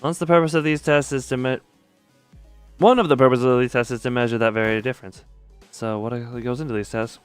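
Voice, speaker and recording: Smooth, clear-voiced man on a game stream. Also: medium pitch, steady tone, fast talker, dry recording, soft background music.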